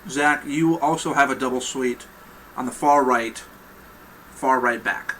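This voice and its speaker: Young guy gm, bright voice, rambly and chill, bit of hiss. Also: medium pitch, measured pace, low-quality recording. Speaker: game master. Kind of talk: casual tabletop game narration.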